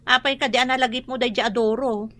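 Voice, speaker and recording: A woman's voice: a little high, fairly even intonation, quick pace, a bit bright, dry recording, natural voice. A woman with a Filipino accent playing a preoccupied, touchy mother in a radio drama. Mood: curious, teasing.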